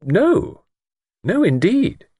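A genteel elderly spinster, acted by a male narrator, in a high, lilting voice. She is prim and proper, anxious and hesitant, choosing her formal words with care, as in a storybook. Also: big pitch swings, very slow, dark tone, breathy edge, no room sound, clean studio sound, exaggerated voice. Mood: flustered denial. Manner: prim, careful, formal phrasing. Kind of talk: audiobook character voice.

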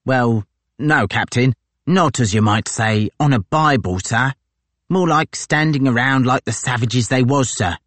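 A man voicing a big, brash Marine sergeant who talks in a loud, boastful, rough working-class way. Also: mid-range voice, unhurried, dry recording, voice pushed up, natural voice. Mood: gloating.

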